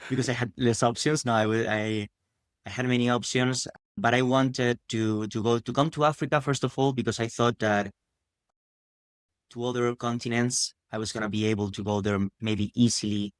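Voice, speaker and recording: A man's voice: mid-range voice, steady tone, normal speed, no room sound, studio quality. A man, a podcast guest explaining things at length and rephrasing as he goes, often adding "no?" at the end of a thought. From Spain. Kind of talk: casual interview answers.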